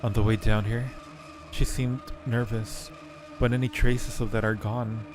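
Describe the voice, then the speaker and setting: A man's voice: mid-range voice, level tone, measured pace, close to an everyday voice. A man voicing a lovestruck undead acolyte from a horror game, narrating with earnest, brooding resignation. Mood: hushed awe. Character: devoted undead cave acolyte, fatalistic and lovestruck. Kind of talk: dramatic reading of game narration. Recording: music behind the voice.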